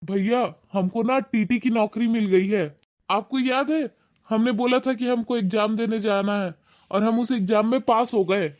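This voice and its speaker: A man's voice: sits high, fairly even intonation, normal speed, a little mellow, no room sound, natural voice. A man playing a humble young newspaper seller. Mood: polite. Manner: polite, pleading, deferential. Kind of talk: cartoon voice acting.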